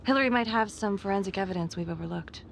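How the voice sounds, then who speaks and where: A woman's voice: mid-range voice, fast, vocal fry, a little hiss or hum, close to an everyday voice. A woman playing a tough, hard-boiled police detective with a blunt, terse, impatient way of talking in a dramatic video game. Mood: businesslike.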